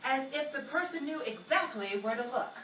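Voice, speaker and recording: A woman's voice: sits high, animated, moderate pace, a bit bright, recorded from a distance, lo-fi sound. An actress playing a shrewd, sassy woman sleuth in a theatrical 1940s radio comedy style. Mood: intrigued. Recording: echoey room, off mic, faint noise.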